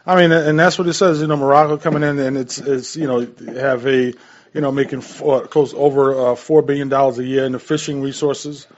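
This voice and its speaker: A man's voice: mid-range voice, little pitch movement, brisk, dull audio. An American man co-hosting a radio show, talking casually and earnestly in short, repetitive phrases. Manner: repetitive, piecing points together in short phrases.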